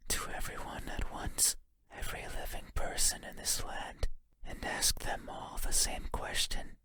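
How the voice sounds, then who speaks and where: A man voicing a lonely, yearning monster for a horror game, in a breathy whisper with a halting, intimate manner. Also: natural voice. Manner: halting, intimate, musing, formal phrasing. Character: lonely wordless monster lurking in the dark, yearning, eerie. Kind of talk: video game horror monologue.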